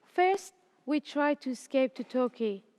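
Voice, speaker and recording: A young woman in her late teens from Afghanistan, raised in Iran, a student reading her personal story slowly, carefully and earnestly. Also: high voice, lively intonation, darkish tone, long reverb tail.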